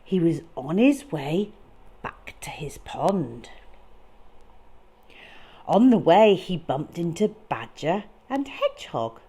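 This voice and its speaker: Woman, children's storyteller, very slow pace, lively pitch, dramatic expressive reading, deliberate phrasing. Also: husky. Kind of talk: animated picture-book reading for young children.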